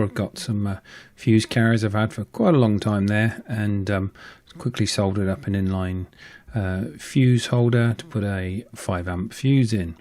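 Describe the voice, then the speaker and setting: Low-voiced British man explaining hobby repairs. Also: a little mellow. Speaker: host of a radio repair channel. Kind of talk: explanatory hobbyist narration with informal hands-on commentary.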